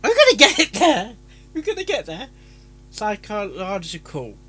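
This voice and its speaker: A man's voice: sits high, huge pitch swings, normal speed, fairly bright tone, slight background noise. A man chatting casually as a live-stream reactor, stumbling over his words and interrupting himself. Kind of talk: casual live-stream chat with rehearsed intros.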